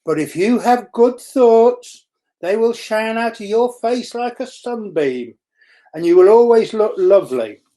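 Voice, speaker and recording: A woman reading a children's storybook aloud in an expressive narrating style. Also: fairly high pitch, a little slow. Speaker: school staff member reading aloud.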